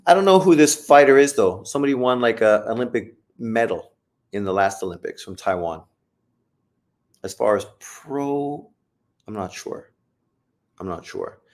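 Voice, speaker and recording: A man's voice: mid-range voice, lively intonation, normal speed, long pauses, a little mellow. A man hosting a live stream who calls a boxing fight as it happens and answers chat questions in a blunt, profane, joking way. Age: adult, old enough to say he got old. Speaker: livestream host reacting to a boxing fight. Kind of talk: casual live commentary, reading chat.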